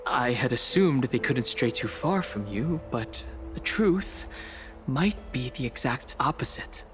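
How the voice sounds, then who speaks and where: Measured, earnest young hero, a man acting over music. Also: mid-range voice, lively intonation, close to an everyday voice. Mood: earnest, reassuring. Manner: measured, earnest, reserved, with sighs. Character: serious, level-headed young male adventurer, quietly caring companion. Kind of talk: scripted fantasy game dialogue.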